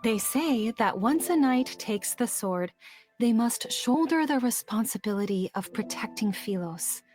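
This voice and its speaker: An actress playing a gentle, wise queen mother in a video game, speaking in a measured, solemn way over music. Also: fairly high pitch, animated. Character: gentle wise queen mother counseling her young son. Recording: music under the voice.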